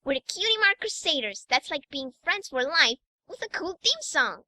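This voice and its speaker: A woman's voice: high voice, sing-song, normal speed, pushed and bright, heavily acted. A woman voicing a sweet, eager little unicorn filly, bubbly and earnest, for an audiobook. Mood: cheerful. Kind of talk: fan audiobook character acting.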